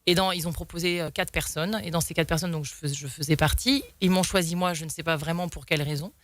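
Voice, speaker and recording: A woman's voice: on the low side, steady tone, fast, dry recording. A young woman guest telling stories in a talk show, rambling with many fillers and restarts. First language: French. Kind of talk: casual storytelling in an interview.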